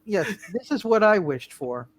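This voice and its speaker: A man's voice: high voice, big pitch swings, normal speed. A man voicing a sweet, devoted young small-town wife in a hammed-up radio play reading. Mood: tender.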